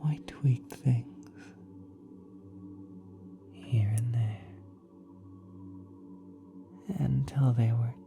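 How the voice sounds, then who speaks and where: A woman voicing a kind, doting celestial parent in an ASMR roleplay, soft and breathy with gentle, tender cooing. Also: very deep voice, fairly even intonation, very slow, music in the background, heavily acted. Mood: tender, explaining. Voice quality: soft, breathy, partly whispered. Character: kind, doting ethereal cosmic being, parent of a mortal.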